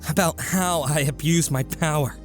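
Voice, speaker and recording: A man playing a guilt-ridden, defensive teenage son in a comic dub, hesitant and evasive, halting as he talks. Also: on the high side, faint music behind, close to an everyday voice. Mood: bitter. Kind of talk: amateur comic dub voice acting.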